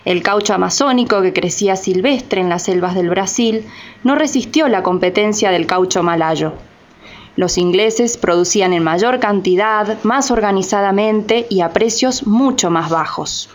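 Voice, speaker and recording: A woman's voice: medium pitch, measured pace. A woman reading scripted documentary-style narration as the narrator of a radio drama. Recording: slightly muffled lo-fi recording.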